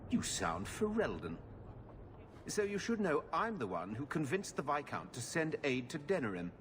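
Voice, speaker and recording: Rich, savvy old lord: haughty, very animated intonation, articulate, game drama, faint background noise. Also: male voice, fairly high pitch, normal speed. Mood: haughty, indignant. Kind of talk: video game cutscene acting.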